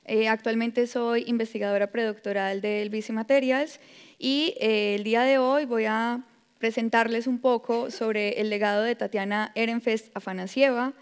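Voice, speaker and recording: A young adult woman from Colombia with a Colombian Spanish accent, giving a measured conference talk as a predoctoral researcher, with frequent filler sounds. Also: a little high, steady tone, smooth. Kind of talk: conference presentation. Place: large lecture hall. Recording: long hall reverb.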